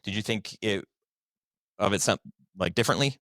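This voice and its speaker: A man's voice: fairly low pitch, moderate pace, fairly bright tone, no room sound. A middle-aged man talking informally and earnestly as a guest in a conversational podcast interview. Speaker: podcast guest, video company founder.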